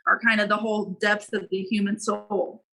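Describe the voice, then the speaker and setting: A woman's voice: medium pitch, level tone, moderate pace, sharp tone, rough recording. A woman co-hosting a podcast, inquisitive and conversational as she interviews. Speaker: podcast co-host.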